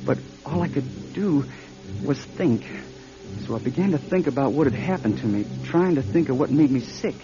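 An actor playing a scared, suspicious young man in a radio drama, speaking in an anxious, halting stammer. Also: mid-range voice, lively intonation, natural voice. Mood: dread, halting recollection. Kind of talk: audio drama acting, first-person narration and dialogue. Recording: dull old radio drama, music behind.

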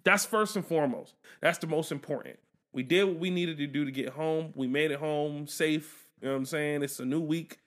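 A man co-hosting a podcast, talking in a casual, animated and profane way as he tells a story. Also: on the high side, moderate pace. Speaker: podcast co-host. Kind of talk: casual chat.

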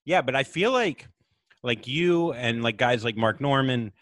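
Crude, joking comedian host with a lisp. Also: male voice, medium pitch, lively intonation, fairly bright tone, dry recording, studio quality. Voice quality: light lisp. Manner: crude, self-mocking, quick to laugh. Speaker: podcast host. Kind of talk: casual comedy podcast chat.

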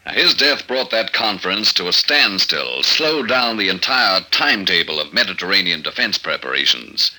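A very sharp, forceful, fairly low voice plays a commissioner in an old radio thriller. He is brisk, authoritative and strictly matter-of-fact, a male boss giving orders. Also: big pitch swings, heavily acted, rough recording. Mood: urgent, businesslike. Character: businesslike male government commissioner briefing his agent. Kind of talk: 1950s radio drama acting.

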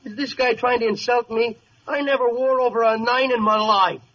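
Brash, high-pitched racketeer boss, muffled radio. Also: male voice, fairly even intonation, normal speed, sharp tone, full character voice. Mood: gruff, annoyed. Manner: tough-guy slang with mangled grammar. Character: brash, vain, dim-witted small-time racketeer boss, tough but lovesick. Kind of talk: 1940s radio comedy acting. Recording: muffled, faintly noisy old radio recording.

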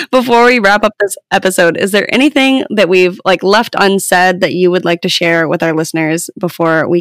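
A woman's voice: mid-range voice, a bit bright, dry recording, clean studio sound. A woman co-hosting a podcast who steers the talk in a casual, upbeat way. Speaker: podcast co-host. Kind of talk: casual interview chat.

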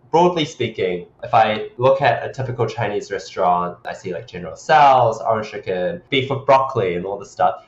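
A man born in Hong Kong and raised in New Zealand, with a New Zealand accent, talking reflectively as a podcast guest and often checking in with "right?". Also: mid-range voice. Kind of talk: casual interview chat. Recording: echoey, dull lo-fi sound.

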